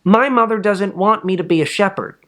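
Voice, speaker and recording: A man voicing a reluctant young child in a storybook, plaintive and drawn-out. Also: sits high, fairly bright tone, pitched above the performer's own voice. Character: reluctant, whiny young child dodging a part.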